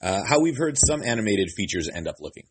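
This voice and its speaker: A man's voice: fairly low pitch, big pitch swings, fast talker, background noise. A male interviewer asking long, rambling questions full of fillers. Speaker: entertainment interviewer. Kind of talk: press interview.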